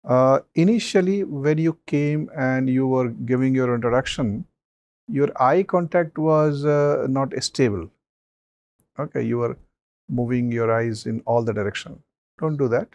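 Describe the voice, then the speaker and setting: A man, a mock interview panelist giving measured advice with many pauses and fillers. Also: mid-range voice, a little mellow.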